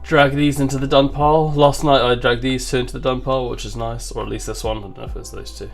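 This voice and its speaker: A tired man who has just woken up, talking casually with a gravelly voice and muttering to himself as he works through a game development livestream. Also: medium pitch, steady tone, fast talker, soft background music. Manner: muttering to himself, thinking aloud. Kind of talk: casual livestream commentary while working.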